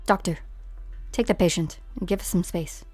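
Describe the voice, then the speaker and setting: A woman's voice: lowish voice, normal speed, darkish tone, breathy edge, no echo, soft background music. A woman playing a stern, duty-bound security director in a fan-dubbed game drama, speaking tersely and bluntly. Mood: commanding.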